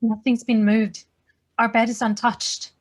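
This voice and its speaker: A woman's voice: fairly high pitch, flat delivery, measured pace. A woman playing an anxious, determined expectant mother in a thriller drama table read. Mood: urgent, guarded.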